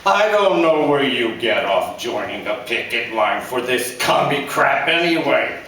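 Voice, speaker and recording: A man's voice: medium pitch, lively intonation, measured pace, bright, forceful tone, a little hiss or hum. A man voicing an angry, belittling middle-aged drunk father, ranting and sarcastic, heard in an echoey room from far off.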